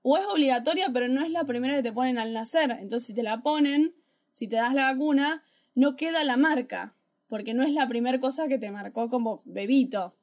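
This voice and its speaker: Argentine woman, slightly high voice, ironic podcast banter, quick to laugh. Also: a little mellow. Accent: Argentine Spanish. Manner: jokey, ironic, laughs often. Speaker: podcast co-host. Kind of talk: casual chat.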